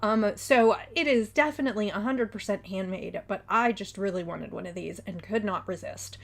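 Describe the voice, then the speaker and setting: A woman's voice: mid-range voice, moderate pace, vocal fry. A woman hosting her own show in a casual chat to camera, slightly congested from a cold and chatty, with frequent ums. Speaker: podcast host.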